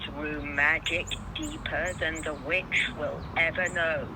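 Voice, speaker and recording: A woman's voice: sits low, steady tone, takes it slowly, background noise, pitched below the performer's own voice. A woman voicing a great wise male lion, kingly and commanding, with a solemn manner, in a children's storybook reading. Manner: solemn, authoritative.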